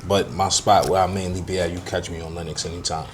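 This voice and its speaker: A man from Harlem with a New York accent, a rapper guest telling his story in a laid-back, relaxed way in a bakery. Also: low voice, fast talker, slight background noise. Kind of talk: casual interview talk.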